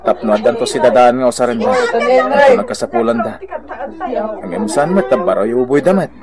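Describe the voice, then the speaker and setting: A man's voice: mid-range voice, brisk, a loud crowd, lightly acted. A man with a Filipino accent playing a weary, frustrated, dutiful young son in a radio drama.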